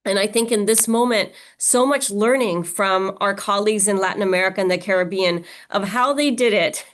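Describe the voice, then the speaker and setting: Brief: woman, mid-pitched, earnest and measured, conversational interviewer with fillers. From Pakistan, raised in Mexico. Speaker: interviewer, organization leader.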